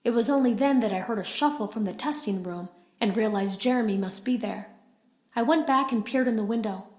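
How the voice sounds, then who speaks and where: An actress playing an uneasy female game tester, confiding in a measured, serious way in scripted audio logs for a horror game. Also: on the high side, roomy sound, close to an everyday voice. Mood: haunted.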